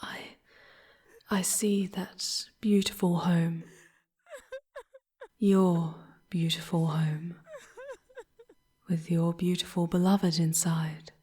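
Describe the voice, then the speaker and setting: Woman doing a soft demon lady for asmr roleplay, super whispery, really slow with long dramatic pauses, sad and tender. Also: fairly low pitch, level tone. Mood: hushed, mournful. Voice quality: breathy, whispery. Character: charming female demon guide, tender and sorrowful.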